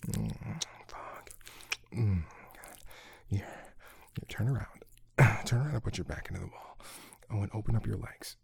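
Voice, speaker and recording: A man with a low, gravelly voice murmurs in a breathy, husky whisper. He talks slowly and coaxingly for an intimate audio roleplay, giving soft commands between frequent low hums and sighs. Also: very animated, no echo. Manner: slow, commanding, coaxing delivery.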